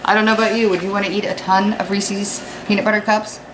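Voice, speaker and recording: A woman's voice: medium pitch, level tone, quick pace, background noise. An American woman teaching children in a read-aloud lesson, explaining informally and putting questions to her young listeners. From United States. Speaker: fifth-grade teacher.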